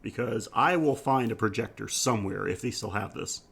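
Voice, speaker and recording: A man's voice: lowish voice, normal speed, creaky, a bit bright, slightly rough. A man hosting a podcast, chatting casually about film in an enthusiastic, rambling way. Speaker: podcast host. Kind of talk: casual film review chat.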